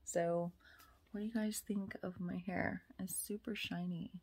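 Slow, soft-spoken woman vlogging from bed. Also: mid-range voice, steady tone, breathy edge. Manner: chatty, slips into soft whispers. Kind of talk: casual chat to camera. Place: bedroom, lying on a bed indoors. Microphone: phone camera at arm's length.

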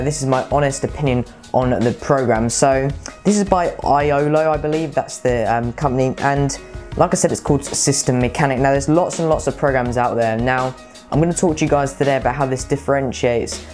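A man's voice: medium pitch, a little mellow. A young man in his late teens giving a casual, chatty product review to camera, often repeating words for emphasis. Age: young adult, late teens. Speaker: tech reviewer presenting to camera. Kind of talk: casual scripted-free product review to viewers. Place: small bright room at home. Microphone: clip-on lapel mic.

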